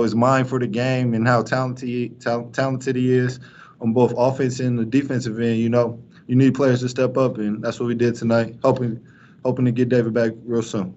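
A young male college basketball player answering postgame press questions, flat and halting, with many fillers and restarts. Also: medium pitch. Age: young adult. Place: press room in front of a sponsor backdrop. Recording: dull lo-fi stream with faint noise.

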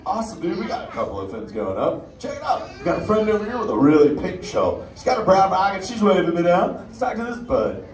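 A man's voice: high voice, huge pitch swings, fast. A man voicing a laid-back surfer-dude male sea turtle in a live interactive audience show, talking in easygoing surfer slang. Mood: stoked. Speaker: live theme park show character. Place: indoor theater with an audience. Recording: echoey theater from far off, crowd noise.

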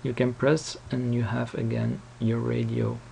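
Man, tutorial presenter, hesitant, unhurried pace, vocal fry. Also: mid-range voice, little pitch movement, very noisy. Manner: hesitant, halting explanation. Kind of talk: casual unscripted screen-recording walkthrough.

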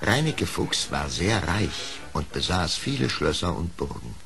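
A man's voice: low-pitched, big pitch swings, a little slow, creaky, fairly bright tone, faint music behind. A man narrating a children's radio play in a scripted storybook style.

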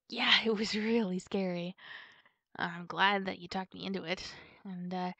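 A woman's voice: mid-range voice, a bit bright, dry recording. A woman playing a nervous, twitchy wolf girlfriend in an ASMR roleplay, stammering and hesitant as she hides something. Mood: playful, defensive.

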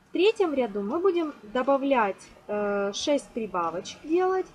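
A woman's voice: a little high, a little mellow. A woman informally explaining a hands-on craft step by step, as a tutorial host. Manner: fond of diminutives, explains step by step. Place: indoors at a table. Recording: noisy amateur home recording.

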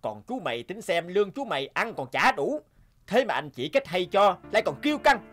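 A swaggering young male gambler from a cartoon moral tale. His delivery is lively and swings widely in pitch, cocky and scornful. Music plays behind him. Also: a little fast, heavily acted. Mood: scolding, boastful. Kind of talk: animated moral tale dialogue.

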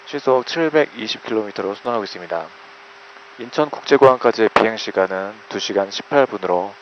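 A man speaking as an airline first officer, making a formal in-flight announcement over a cabin PA. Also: lowish voice. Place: aircraft public address system. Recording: muffled cabin PA with noise. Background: cabin noise.

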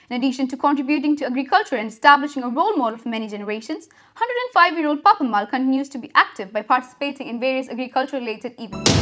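A young woman reads the news at a quick clip in a high, light voice. Her delivery is brisk and even, like a student anchor working through a script, with soft music playing underneath.

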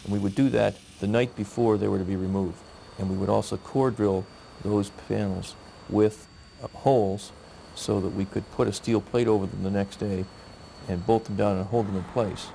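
A man's voice: fairly low pitch, fairly even intonation, normal speed, gravelly, warm tone, slight background noise. A man in an interview explaining overnight bridge work, plain-spoken with some hesitation.

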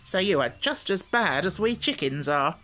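A woman voicing a brisk, self-assured talking hen in a storybook, with a matter-of-fact, argumentative manner. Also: lowish voice, expressive, fairly bright tone, soft background music, pitched above the performer's own voice. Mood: puzzled, argumentative. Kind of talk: storybook character voice.

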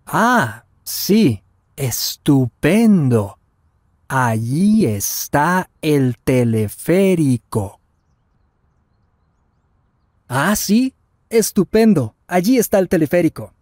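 A man acts out a polite male traveler in a language course dialogue. His voice is fairly high and mellow, and he delivers short learner phrases very slowly and carefully, in a dry recording. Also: very animated, dry recording, heavily acted. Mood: agreeable. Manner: deliberate, carefully enunciated. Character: polite Canadian traveler practicing his Spanish. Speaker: voice actor in language lesson dialogues. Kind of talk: scripted learner dialogue, each phrase said slowly then at normal speed.

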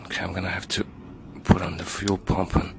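Male home mechanic, low register, casual running commentary over a noisy outdoor camera recording. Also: flat delivery, a little fast, creaky. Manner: talks to himself while working, short muttered remarks. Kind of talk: hands-on repair walkthrough. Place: outdoors at a car's engine bay.

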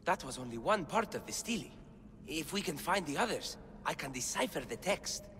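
A man's voice: a little high, very animated, moderate pace, pushed and bright, long reverb tail, a little hiss or hum. An actor voicing an earnest, bookish young man who explains ancient texts in a video game cutscene. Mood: thoughtful, explanatory.

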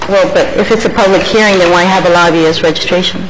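A woman's voice: fairly low pitch, steady tone, quick pace. A woman council member speaking in a chatty, somewhat stumbling, good-humored way during debate. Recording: echoey chamber with hiss.

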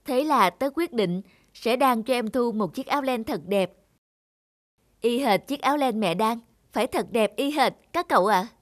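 A woman's voice: on the high side, animated, normal speed, no room sound, studio quality, lightly acted. A woman voicing an earnest, apologetic schoolboy in a cartoon, explaining himself. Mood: earnest, sheepish. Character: earnest, apologetic schoolboy, devoted big brother. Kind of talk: cartoon dialogue.

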